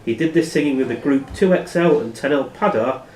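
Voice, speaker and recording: A man hosting a quiz as quizmaster, reading questions aloud in a plain, matter-of-fact way and giving brisk rulings. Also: medium pitch, a little mellow. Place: live in a pub. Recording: echoey room, distant microphone.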